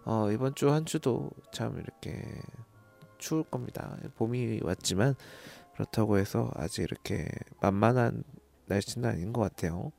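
A man hosting a stock tip broadcast solo, speaking politely and plainly with hesitant pauses. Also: fairly low pitch, creaky, darkish tone, soft background music. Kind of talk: informal solo stock recommendation talk.

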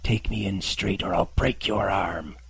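A man voicing a cruel blind male pirate beggar, shifting from a high whining plea to hissed, whispered threats. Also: fairly even intonation, a little slow, dry recording, exaggerated voice. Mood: menacing whisper. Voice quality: very husky, breathy. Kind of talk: audiobook character voice.

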